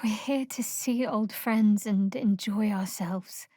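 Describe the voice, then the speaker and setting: A woman playing a guarded, sardonic young female cleric of a dark goddess in a video game, with a dry, wry delivery. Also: mid-range voice, slow pace, no room sound. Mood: soft, companionable. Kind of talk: video game character dialogue. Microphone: close studio microphone, dry.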